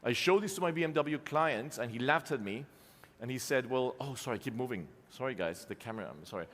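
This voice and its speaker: A man's voice: mid-range voice, expressive, fairly quick, a bit bright. Casual man speaking in a reverberant hall. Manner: casual, self-deprecating, many ums and restarts. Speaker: conference speaker, company co-founder. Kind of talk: live conference keynote with slides. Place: conference hall.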